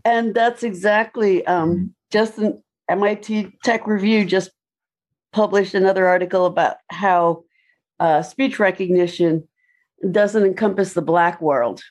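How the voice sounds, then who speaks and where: A woman's voice: medium pitch, unhurried, a little mellow. A woman explaining ideas thoughtfully in a casual panel discussion.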